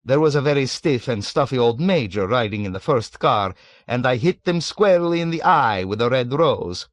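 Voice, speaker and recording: A man's voice: mid-range voice, lively intonation, moderate pace, no echo, pitched above the performer's own voice, close to an everyday voice. A man voicing a sly, mocking old man of 107. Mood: scoffing. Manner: suave, mocking, scoffing.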